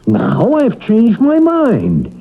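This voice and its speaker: Old lo-fi radio play, a sarcastic cranky sick man, blustery and unhurried, kinda rough voice. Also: very high voice, wildly swooping pitch, exaggerated voice. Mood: indignant, mocking. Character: cantankerous, sarcastic sick man refusing to die. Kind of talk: classic radio drama acting. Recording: dull, lo-fi old radio recording.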